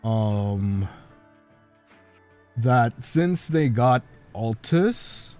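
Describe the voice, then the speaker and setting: A man's voice: medium pitch, animated, drawn-out words, a little mellow, clear, resonant tone. A male streamer chatting casually, rambling with lots of fillers and frequent laughter. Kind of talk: casual livestream chat. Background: faint background music.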